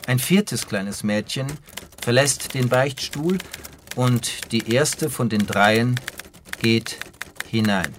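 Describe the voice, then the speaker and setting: A man's voice: mid-range voice, slow pace. Male narrator reading screenplay, faint noisy backdrop. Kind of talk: scripted narration of scene directions.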